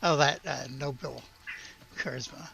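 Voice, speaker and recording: A woman's voice: deep voice, unhurried, creaky, a bit bright. A woman running a tabletop roleplaying game as game master, casually switching between narration and dialogue.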